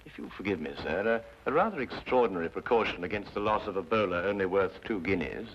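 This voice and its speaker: Logical Victorian detective, British, animated intonation, precise, assured, drily deductive, muffled vintage radio drama. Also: male voice, low voice, fast talker. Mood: polite, assured. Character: brisk, brilliant, coolly logical male Victorian London detective. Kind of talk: old-time radio drama acting. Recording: dull, noisy old radio drama recording.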